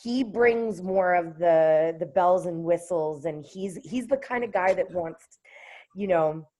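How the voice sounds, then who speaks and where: A woman with a low contralto voice, a singer-songwriter talking casually in an interview, often pausing with fillers and restarting her sentences. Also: dark tone. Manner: hesitant, with many fillers and restarts.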